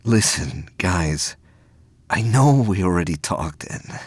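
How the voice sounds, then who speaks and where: A man playing an earnest, troubled but determined young space cadet in an audio drama, speaking in a halting, urgent way. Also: low-pitched, lively intonation, husky, no echo, full character voice. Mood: hurried, focused.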